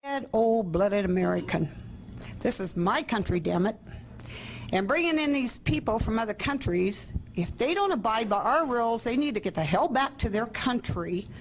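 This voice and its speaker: A woman speaking forcefully and indignantly in a short interview clip. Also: a little high, animated, moderate pace, a little hiss or hum.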